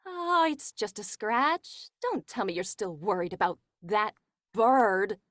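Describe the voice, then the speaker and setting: A woman's voice: mid-range voice, expressive, slow, fairly bright tone, no echo. A woman playing a scheming, coaxing, greedy young wife in a theatrical 1940s-style radio horror play. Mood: brisk, scheming.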